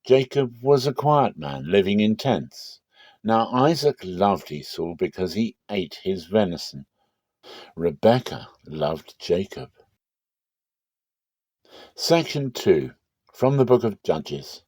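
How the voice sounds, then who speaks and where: Male scripture narrator, dark soft-edged tone, measured and solemn delivery, close dry sound. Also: on the low side, big pitch swings, no echo. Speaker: Bible reader.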